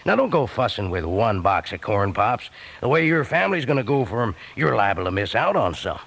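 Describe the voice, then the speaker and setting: An actor playing a folksy, chummy cowpoke announcer giving an old-time radio commercial pitch. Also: male voice, big pitch swings, brisk, vocal fry, fairly bright tone, exaggerated voice. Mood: chummy, upbeat. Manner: folksy, chummy, drawling slang.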